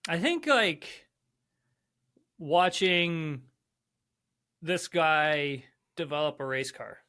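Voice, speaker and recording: Co-host, 30s male, ex-driver; lively, chatty, filler-heavy, bright tone. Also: fairly high pitch, very slow, clear, resonant tone. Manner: animated, chatty, many "like" and "right?" fillers. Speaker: co-host, former racing driver. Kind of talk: casual podcast chat. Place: indoor room. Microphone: headphones, video call.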